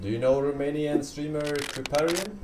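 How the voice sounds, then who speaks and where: A man's voice: fairly high pitch, normal speed, darkish tone, soft background music. A man in his thirties chatting casually and dryly while streaming a game on a close mic. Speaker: livestreamer playing an online game. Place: small room with green curtain. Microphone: close desk microphone with pop filter.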